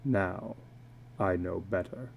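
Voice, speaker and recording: Man voicing a deep, brooding, weary old carnival man. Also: slow, gravelly, husky, full character voice. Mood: haunted. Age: older. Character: weary, bitter tattooed carnival man, older, haunted. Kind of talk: dramatic reading of a short story.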